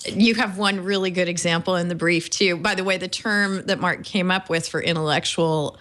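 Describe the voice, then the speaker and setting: Low-voiced woman hosting a panel. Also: fairly even intonation, normal speed, a bit bright. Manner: poised, steering with questions. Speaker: podcast host.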